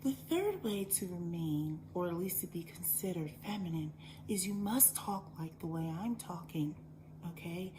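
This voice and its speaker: A woman voicing a preachy female lifestyle influencer who talks in a slow, hushed, breathy whisper. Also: lowish voice, animated, lightly acted. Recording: background noise.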